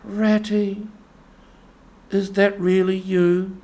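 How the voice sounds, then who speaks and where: Man doing a high, feeble, timid little mole. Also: flat delivery, extremely slow, a little mellow, exaggerated voice. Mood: feeble, hopeful. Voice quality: feeble, thin voice. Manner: timid, pleading. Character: small frightened male mole, timid and naive.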